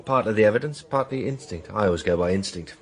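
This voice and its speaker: A supremely self-assured barrister in a radio play. He is suave and smug, with a husky edge to his voice. His delivery swoops theatrically as he toys with whoever he addresses. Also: lowish voice, expressive, quick pace. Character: cocky, smiling male defence barrister, supremely sure of himself. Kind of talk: radio drama acting.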